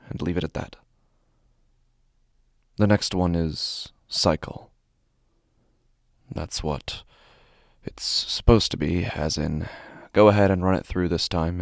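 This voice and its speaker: A man playing an anxious, meticulous office worker, narrating a horror story in the first person in a halting, deliberate way. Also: low voice, creaky, no echo, clean studio sound. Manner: halting, deliberate, stammers when agitated. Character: anxious, meticulous male office worker unsettled by his typos.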